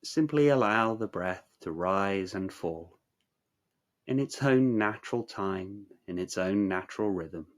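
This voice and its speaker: A man narrating a guided meditation in a measured, soothing, instructional way, leaving long pauses. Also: deep voice.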